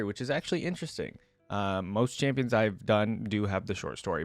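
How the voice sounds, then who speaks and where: A man's voice: fairly low pitch, dry recording. A man hosting a reaction video, reading aloud and commenting in a casual, conversational way.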